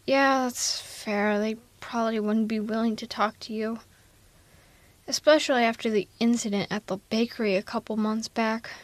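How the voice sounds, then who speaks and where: A woman's voice: fairly high pitch, dry recording, lightly acted. A woman playing a blunt but protective and caring female supervillain in a casual, wry, conversational ASMR audio roleplay. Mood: exasperated, wry. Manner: casual, wry, reassuring, exasperated.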